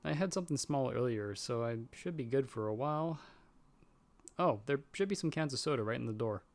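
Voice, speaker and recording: Man playing a flustered male friend, young, casual audio roleplay, mid-pitched, chatty, sheepish, self-deprecating. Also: expressive, brisk, gravelly. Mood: friendly, flustered. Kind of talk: casual one-sided roleplay dialogue.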